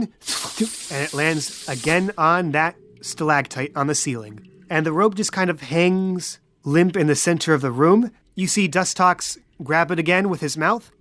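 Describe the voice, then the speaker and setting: A woman's voice: fairly low pitch, fairly bright tone. A woman narrating a tabletop roleplay podcast as game master.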